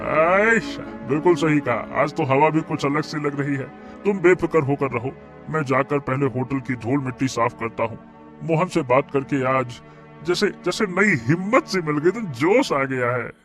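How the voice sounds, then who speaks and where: A village hotel owner in a cartoon story, voiced by a man, full of remorse and pleading emotion. His voice sits a little high, with soft music under it. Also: measured pace. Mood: cheerful.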